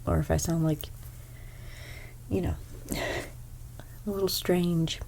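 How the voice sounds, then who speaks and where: A young woman with a stuffy nose giving an ASMR haircut role play as a hairstylist. She speaks softly, often slips into whispers, and makes gentle salon small talk. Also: on the low side, fairly even intonation, slow, creaky. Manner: soft-spoken, drifting into whispers.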